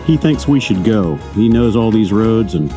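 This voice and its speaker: A man riding a motorcycle and chatting casually to the camera through a helmet mic, rambling, loose and quick to swear and chuckle. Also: fairly low pitch, animated. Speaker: motorcycle vlogger. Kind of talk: casual ride commentary. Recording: music playing under the voice.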